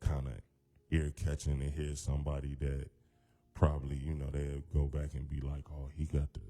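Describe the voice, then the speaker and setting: A man with a very deep voice, a hip-hop artist talking as a guest in a radio studio in a loose, laid-back way full of fillers. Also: monotone, normal speed, warm tone. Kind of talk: casual radio interview.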